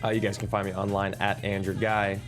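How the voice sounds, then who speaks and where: Guy on a panel, kinda low voice, casual talk, bit of music behind. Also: monotone, a little fast. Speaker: table read panelist. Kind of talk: casual chat.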